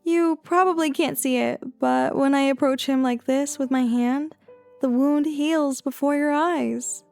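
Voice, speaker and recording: A woman's voice: high voice, fairly even intonation, measured pace, gravelly, a little mellow, soft background music. A woman playing a young schoolgirl in an audio drama, speaking to a friend. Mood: gentle, confiding.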